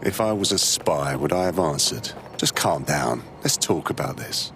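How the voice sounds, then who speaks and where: An actor playing a suave, unflappable secret agent in a video game, dry and teasing. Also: male voice, extremely low pitch, animated, normal speed, a bit bright, slight background noise, heavily acted. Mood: cool, dry.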